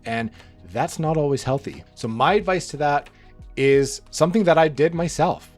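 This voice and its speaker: Rambling man, slightly hoarse. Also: medium pitch. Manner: relaxed, rambling, frequent restarts and fillers. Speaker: book channel host. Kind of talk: rambling casual monologue to camera.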